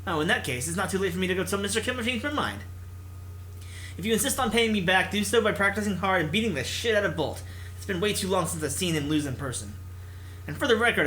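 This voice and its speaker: Guy reading a bossy esports captain woman from a visual novel, curt, high bright voice. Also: a little hiss or hum, poor audio. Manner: curt, commanding. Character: brusque, demanding female esports team captain.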